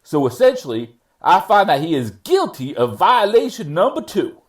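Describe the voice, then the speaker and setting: Guy acting as a judge reading out a formal ruling, kinda higher voice, very up and down, deliberate legal talk. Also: very animated. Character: male judge ruling at a probation revocation hearing. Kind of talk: courtroom readers theater reading.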